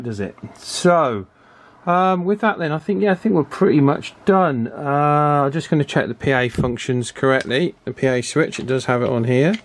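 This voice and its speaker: A man giving a casual, chatty hands-on repair commentary in an unhurried way, as if thinking aloud. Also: medium pitch. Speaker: radio repair hobbyist presenting his work.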